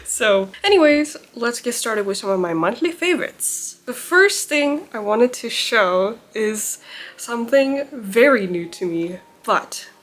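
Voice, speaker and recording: A woman's voice: fairly high pitch, lively intonation, measured pace, fairly bright tone. Bubbly chatty young woman, slightly sick. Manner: bubbly and chatty, frequent laughs and "like" fillers. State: recovering from illness, slightly off voice. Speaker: vlogger. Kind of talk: casual chat to camera. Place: indoors at home by a plain wall.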